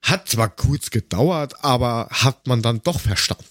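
A middle-aged man chatting casually on a humorous podcast in a halting, wry storytelling manner. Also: medium pitch, lively intonation, fairly bright tone, dry recording, studio quality. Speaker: podcast host.

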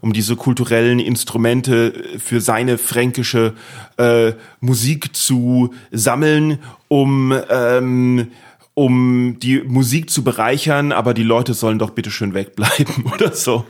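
A man's voice: mid-range voice, steady tone, slow pace. A man hosting a podcast, interviewing a guest in casual chat, telling rambling, self-mocking stories. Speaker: podcast host.

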